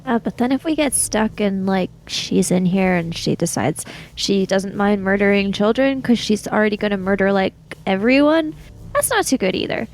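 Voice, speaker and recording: A woman's voice: mid-range voice, animated, moderate pace, darkish tone. A woman improvising in character as a player in a tabletop roleplaying game. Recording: music bed behind the voice.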